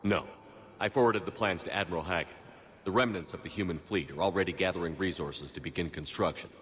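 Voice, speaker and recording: A man playing a steady, resolute military commander in a dramatic video game. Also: sits low, fast talker. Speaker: soldier hero. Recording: reverberant space, music behind.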